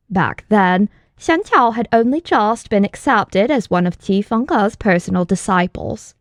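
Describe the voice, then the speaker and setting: A woman's voice: medium pitch, dry recording. A woman reading scripted fiction as an audiobook narrator, close to the microphone.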